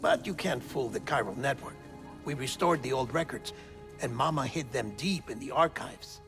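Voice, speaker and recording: An actor playing an earnest, wry intelligence operative briefing a comrade in a video game cutscene, measured and pausing for drama. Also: male voice, mid-range voice, animated, creaky, fairly bright tone. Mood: confiding, wry. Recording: game music behind the voice.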